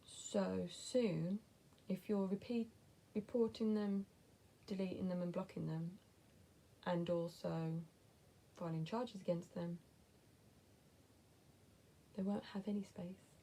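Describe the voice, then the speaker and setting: Gravelly West Midlands woman, chatty vlogger. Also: mid-range voice, steady tone, takes it slowly, dark tone, a little hiss or hum. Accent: West Midlands English. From England. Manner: chatty, blunt, drops to whispered asides. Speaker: vlogger answering viewer questions. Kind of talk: casual chat to camera.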